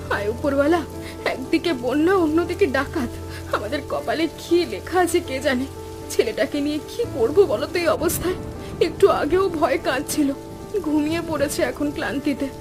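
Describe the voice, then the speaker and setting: A woman's voice: sits high, normal speed, over music, close to an everyday voice. A woman playing a fretful village wife and mother in a cartoon, worried and dramatic. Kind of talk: cartoon voice acting.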